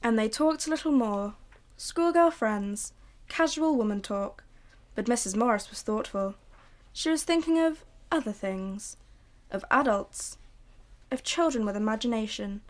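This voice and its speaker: Woman narrator, high voice, slow and hushed. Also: expressive. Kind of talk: scripted narration for a radio drama.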